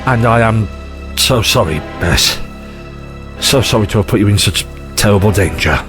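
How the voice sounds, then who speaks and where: Man playing an animated, rambling eccentric adventurer. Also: on the low side, normal speed, over music, natural voice. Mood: grave, sorry. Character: eccentric, excitable, rambling male time-travelling adventurer. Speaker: lead actor in an audio drama. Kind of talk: audio drama acting.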